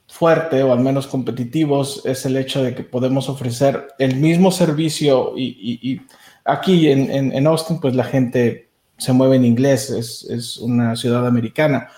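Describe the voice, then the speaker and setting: Interview guest: man, warm mellow voice, halting and thoughtful delivery, roomy echo. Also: medium pitch, fairly even intonation. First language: Spanish. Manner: thoughtful, with frequent restarts and fillers. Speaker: guest, media business owner. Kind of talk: conversational interview answers.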